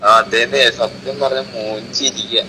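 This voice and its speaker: A man's voice: medium pitch, fairly even intonation, unhurried, very noisy. A young man chatting casually on a phone call in a laconic way. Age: young adult. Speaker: phone caller.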